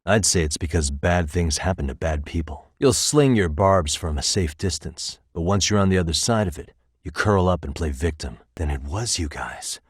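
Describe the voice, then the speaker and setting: A man's voice: low-pitched, lively intonation, moderate pace, slightly rough, no echo, studio quality. An American man voicing a composed, probing detective in a video game, tough and wry, with controlled, pointed delivery. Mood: probing, firm. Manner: controlled, pointed, dryly sardonic questioning. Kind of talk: video game voice acting, dramatic interrogation dialogue.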